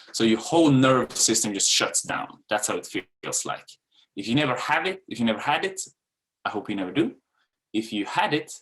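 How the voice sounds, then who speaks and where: A man's voice: fairly low pitch, a bit bright. A man in his thirties from Sweden with a Swedish accent, a dance coach giving a candid webinar talk with casual fillers and swearing. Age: 30s.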